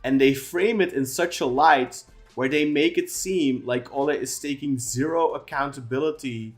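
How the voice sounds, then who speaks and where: A man's voice: medium pitch, normal speed, soft background music. A man co-hosting a football podcast, talking at length in casual chat with many restarts and fillers. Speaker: podcast co-host.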